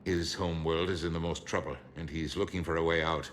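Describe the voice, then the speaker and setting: An actor playing a stern, shrewd older politician in a video game, speaking in a measured, formal, rhetorical way. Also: male voice, sits low, level tone, a little hiss or hum, close to an everyday voice. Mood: grim, businesslike.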